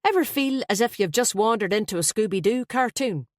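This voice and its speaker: A woman's voice: a little high, expressive, fairly quick, no echo, studio quality, pitched above the performer's own voice, natural voice. Woman acting a wry, teasing female friend.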